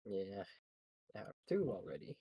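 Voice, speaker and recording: A man's voice: low voice, very animated, normal speed, gravelly, mellow. A man in casual chat as a player on a group game stream.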